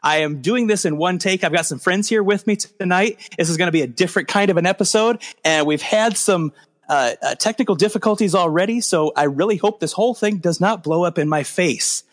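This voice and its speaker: A man's voice: high-pitched, brisk. A man hosting a casual podcast, talking in a rambling, self-deprecating way with lots of fillers and restarts. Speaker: podcast host.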